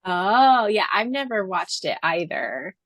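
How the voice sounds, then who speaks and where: A woman co-hosting a podcast in casual fan chat, expressive and giggly in a chatty way. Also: mid-range voice, slow pace, no room sound. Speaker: podcast co-host.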